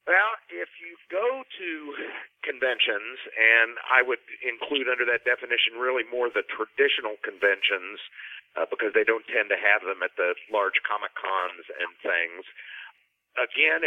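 A man's voice: mid-range voice, normal speed, no echo. Man on a phone-like line, explaining. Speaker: panel guest, musician. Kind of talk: conversational explanation. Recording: dry, narrow telephone-like line.

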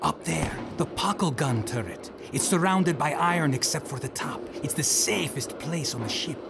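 A man's voice: fairly high pitch, normal speed, gravelly, music in the background. A man playing a protective soldier who speaks in clipped, urgent lines in an audio drama.

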